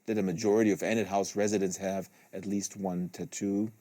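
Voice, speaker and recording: A man reading literary prose aloud like an audiobook, with deliberate phrasing, close to a studio microphone. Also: sits low, level tone, mellow, no room sound. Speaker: host reading a novel aloud. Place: small studio with acoustic foam panels.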